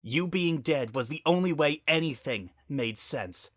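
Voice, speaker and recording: A man voicing a furious, bitter female pegasus pony, accusing her sister in forceful outbursts, in a dramatic story reading. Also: on the high side, lively intonation, unhurried, no echo, clean studio sound, voice pushed up.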